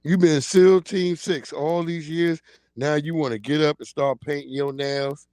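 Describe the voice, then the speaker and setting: A man's voice: a little high, unhurried, dry recording. Forty-something man ranting bluntly, dry sound. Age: 40s. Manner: animated, blunt, slangy ranting. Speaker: livestream host. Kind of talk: casual commentary livestream. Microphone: streaming mic.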